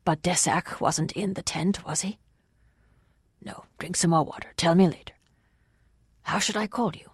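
Storybook lord played by a narrator, older male. Soft, calm, kindly, no urgency, very dry close sound. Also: medium pitch, slightly rough, no room sound. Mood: gentle questioning. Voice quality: soft, hushed. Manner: peaceable, unhurried, gently questioning.

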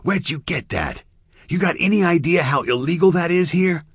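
A cornered, angry man, as a male narrator puts him on, tight and sharp, his voice pushed a bit above the narrator's own. The husky grain stays. The recording is dry. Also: a little high, animated, normal speed, no room sound, pitched above the performer's own voice, exaggerated voice. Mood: tense. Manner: tense and angry. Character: tense, cornered American man.

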